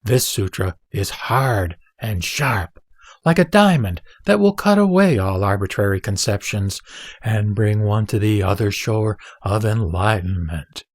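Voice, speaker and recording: A man's voice: lowish voice, big pitch swings, no echo, heavily acted. Man acting as solemn Buddha teacher, dry close sound. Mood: measured teaching. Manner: measured, solemn, didactic. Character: the Buddha, serene male spiritual teacher instructing his disciple. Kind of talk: dramatised scripture reading.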